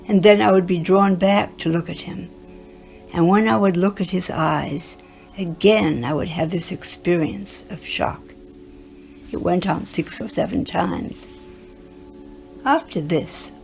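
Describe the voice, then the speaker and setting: A woman's voice: fairly low pitch, gravelly, darkish tone. A woman reading first-person recollections aloud as a narrator. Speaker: reader of written testimonials.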